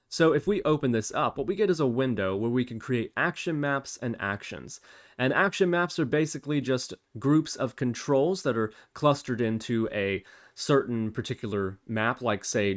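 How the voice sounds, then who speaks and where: A man presenting a screencast software tutorial, explaining step by step in an informal, casual way. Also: medium pitch.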